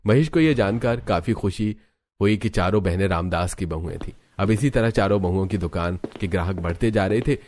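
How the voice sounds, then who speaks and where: Guy narrating a cartoon story, lowish voice, scripted storyteller style. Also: moderate pace, a little mellow, slight background noise.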